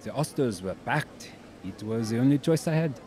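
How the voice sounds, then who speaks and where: An actor plays an earnest young Frenchman in a radio drama, a musician searching for meaning. His voice is mellow and mid-pitched. He speaks thoughtfully and drops in words. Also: expressive, moderate pace, a little hiss or hum, close to an everyday voice. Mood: weary, searching. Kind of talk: audio drama acting.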